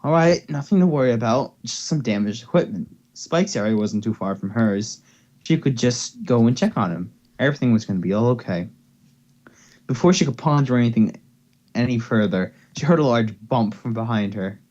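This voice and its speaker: A man's voice: medium pitch, lively intonation, normal speed, a little mellow, highs cut off. A young man giving a casual amateur reading of a story, playful and stumbling, with offhand asides. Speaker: reader. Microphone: headset or desktop mic on voice call.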